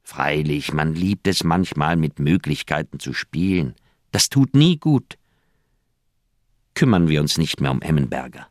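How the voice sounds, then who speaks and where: Middle-aged doctor, very low voice, earnest and wordy, persuasive loyal friend, dry close radio drama sound. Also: male voice, expressive, a little slow, a little mellow, dry recording. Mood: dismissive, uneasy. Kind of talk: German radio crime drama acting.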